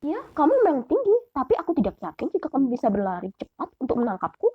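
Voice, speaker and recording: Woman acting little storybook mouse deer, plucky, clever, challenging, high voice, dull dry recording. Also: very animated, fast, breathy edge, dry recording, heavily acted. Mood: bold, teasing. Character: small, bold, clever, helpful mouse deer.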